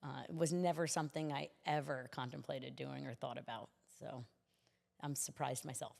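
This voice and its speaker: Low-pitched, creaky middle-aged American woman. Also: steady tone, normal speed, darkish tone, big-room sound. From United States. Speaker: interview guest, city commissioner. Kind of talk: conversational podcast interview.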